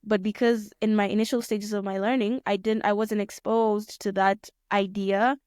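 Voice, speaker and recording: A young woman from Kenya answering interview questions in a relaxed, thoughtful way, often rephrasing mid-sentence with plenty of fillers. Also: medium pitch, normal speed, smooth, no echo, studio quality. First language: Swahili. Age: young adult. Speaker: guest, pilot student.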